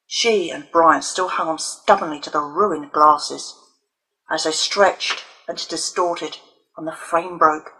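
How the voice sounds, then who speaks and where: A woman reading a children's novel aloud as its author, in dramatic, deliberate audiobook narration. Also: deep voice, fairly even intonation, sharp tone, a little hiss or hum, rough recording.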